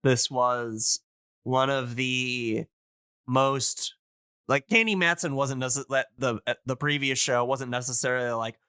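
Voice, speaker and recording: Man, bright tone, clean resonant voice, casual podcast explainer, halting, rambling, lots of fillers. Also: medium pitch, smooth, no room sound, clean studio sound. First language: English. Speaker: podcast speaker on old-time radio.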